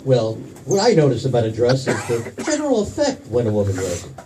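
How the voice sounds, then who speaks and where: An affable 1940s radio announcer, played by a man, mellow and lively in pitch. He gives a smooth commercial pitch from a little way off the mic. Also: fairly quick. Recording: distant mic, faint room noise.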